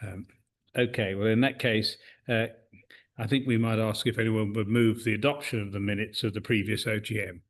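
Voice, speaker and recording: A man's voice: fairly low pitch, fairly even intonation, normal speed. A man, chairing a club meeting in a meeting room. He talks hesitantly, with many uhs and restarts. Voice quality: loud voice.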